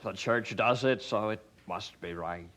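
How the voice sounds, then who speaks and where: An actor playing a weary, sorrowful priest in a radio drama, speaking gravely with sighs of lament. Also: male voice, lowish voice, a little slow, fairly bright tone. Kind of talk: old radio drama acting.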